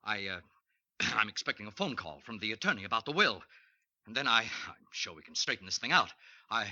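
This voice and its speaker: A man's voice: fairly low pitch, very animated, brisk, pushed and bright, dull audio, exaggerated voice. An actor playing a nervous, cornered male killer who stammers and pleads in a 1940s radio drama. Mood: flustered. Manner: stammering, flustered.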